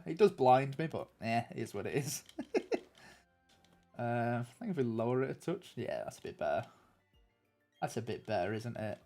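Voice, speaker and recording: A man's voice: a little high, creaky, warm tone. Nasal man chatting on stream. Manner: rambling and chatty, many ums and restarts. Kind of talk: casual livestream chat answering viewers.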